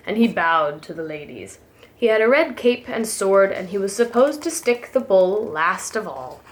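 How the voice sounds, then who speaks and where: A woman reading a children's storybook aloud as a preschool teacher, with gentle, expressive emphasis. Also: on the high side, slight background noise.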